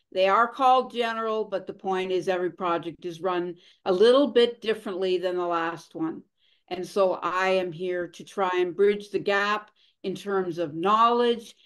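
Middle-aged woman explaining her work, calm and earnest, webcam audio. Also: medium pitch. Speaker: guest, consultant. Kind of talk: interview answers.

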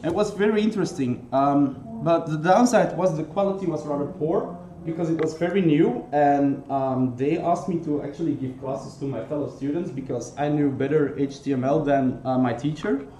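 A man's voice: a little high, moderate pace, warm tone. A young man from Belgium with a Belgian accent, giving a conference talk in English, earnest and full of ums and restarts. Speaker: conference speaker. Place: conference hall. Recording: echoey hall with faint noise.

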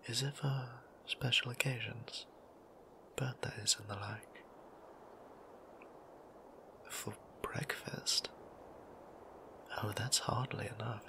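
A man voicing a gentle, formal male vampire priest in a whispered ASMR roleplay, speaking in old-fashioned, softly teasing phrasing. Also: medium pitch, fairly even intonation, slow pace, pauses often. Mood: tender. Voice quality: soft whisper, gravelly. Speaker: voice actor.

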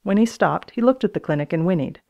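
Mid pitched narrator reading a kids book, plain and straight. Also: lively intonation, fast talker, vocal fry, lo-fi sound. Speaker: audiobook reader.

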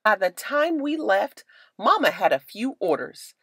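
A woman narrating a children's book aloud in an expressive, carefully enunciated storytelling style. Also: medium pitch, measured pace, slightly rough, dry recording, clean studio sound.

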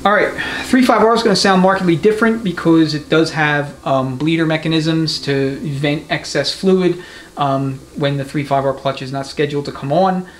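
Male mechanic, slightly high pitch, talks through a hands-on demo step by step, light shop noise behind. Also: moderate pace. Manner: conversational, methodical explaining. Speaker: transmission mechanic giving a demonstration. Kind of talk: hands-on workshop tutorial, unscripted explanation. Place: indoor transmission repair workshop. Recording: clear background noise of a workshop.

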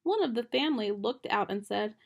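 A young woman reading a children's picture book aloud at home in a gentle storytime manner, recorded on a close webcam in a small bedroom. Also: medium pitch, lively intonation, a little fast, vocal fry, breathy edge.

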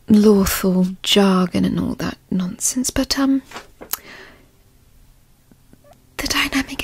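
A woman playing a doting, possessive, dominant girlfriend in an ASMR roleplay, mostly in a breathy whisper with motherly, teasing coaxing. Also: on the high side, a little mellow, no room sound, exaggerated voice. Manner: coaxing, teasing, pet names.

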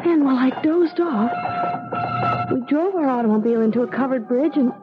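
A woman's voice: high-pitched, fairly quick, close to an everyday voice. An actress playing a young newlywed woman in a radio drama, in dialogue and first-person narration. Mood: shaken, dread. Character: young newlywed bride, drowsy, bewildered, frightened. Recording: tinny old radio broadcast, loud music behind.

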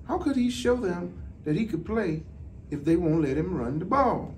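Male, middle-aged, slightly higher-pitched voice. Measured storybook read, a little off-mic. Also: sing-song, darkish tone. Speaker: deputy fire chief. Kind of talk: children's storybook reading. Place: indoor office, seated. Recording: distant mic, some background noise.

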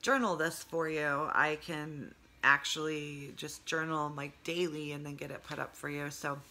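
Congested hoarse woman rambling at home. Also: sits low, little pitch movement, measured pace, pushed and bright. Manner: rambling, many fillers, talks with her hands. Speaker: vlogger. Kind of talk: casual video diary. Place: home room with low sloped ceiling. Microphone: phone camera close up, earbud headset.

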